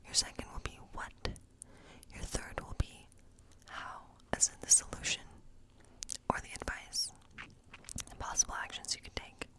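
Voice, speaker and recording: A woman playing a friendly, chatty woodland witch in an ASMR role play, speaking in a breathy whisper and rambling gently. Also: moderate pace, close to an everyday voice, poor audio. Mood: gentle, encouraging. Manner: rambling, gentle, lingering over phrases.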